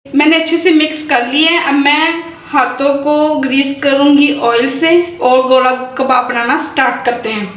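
A woman with a Pakistani Urdu accent, hosting a cooking channel and calmly walking through a recipe step by step, listing each measure. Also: high-pitched, normal speed. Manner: calm instructional, listing measures. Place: home kitchen. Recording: echoey kitchen, dull lo-fi phone audio.

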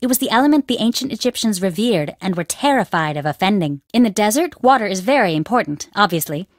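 A woman voicing a young female archaeology student at an Egyptian dig in a video game, animated, by turns nervous and wisecracking. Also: mid-range voice, fast, dry recording, studio quality, lightly acted. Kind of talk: studio game voice acting.

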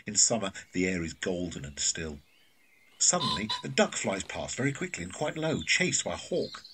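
A man's voice: on the low side, fairly bright tone, distant mic, slight background noise, rough recording. A man narrating an audio drama from a script.

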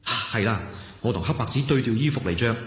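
A man's voice: medium pitch, fast talker, fairly bright tone, a little hiss or hum, natural voice. An actor playing a cocky young swordsman escaping a dungeon, talking theatrically to himself in a radio drama. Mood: self-chiding. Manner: theatrical, talks to himself, chuckles. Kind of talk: Cantonese radio drama acting. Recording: echoey hall-like reverb, music behind.

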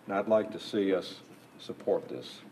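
A man's voice: deep voice, lively intonation, moderate pace, darkish tone, slight background noise. A man on a city council, making a rambling, persuasive case during debate into a desk microphone. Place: council chamber.

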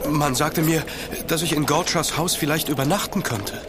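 A man's voice: a little high, moderate pace, heavy background noise, lightly acted. An actor playing a polite, exhausted young male traveller who pleads earnestly in an audio drama. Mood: tired, polite. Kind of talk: German audio drama acting.

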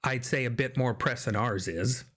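A man's voice: mid-range voice, normal speed, a bit bright. An American man in his fifties hosting a casual religious discussion, often repeating and rephrasing as he makes his points emphatically. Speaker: discussion host. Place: small indoor home studio, video call. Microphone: desk microphone up close.

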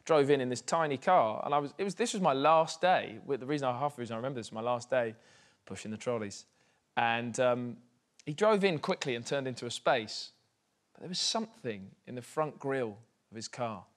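Animated young English man telling anecdotes. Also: medium pitch, brisk. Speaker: musician guest. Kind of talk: storytelling chat. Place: TV studio stage.

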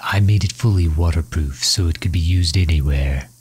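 A man's voice: low voice, moderate pace, darkish tone, a little hiss or hum, lightly acted. A man playing a refined, courteous villain and inventor in an intimate audio roleplay, speaking formally and solicitously, as if musing aloud. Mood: gently concerned. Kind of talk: intimate audio roleplay, ASMR-style acting.

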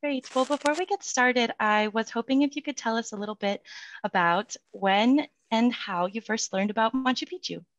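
A young woman hosting an online event over a headset on a video call, brisk and upbeat as she reads the introduction. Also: a little high. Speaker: host. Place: room at home. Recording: dull, dry video call audio.

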